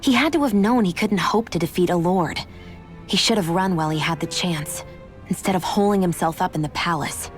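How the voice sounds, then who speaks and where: A woman voicing a blunt, impatient young woman traveler in a video game, direct and sharp-tongued. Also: fairly low pitch, fairly quick, lightly acted. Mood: curt, critical. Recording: music under the voice.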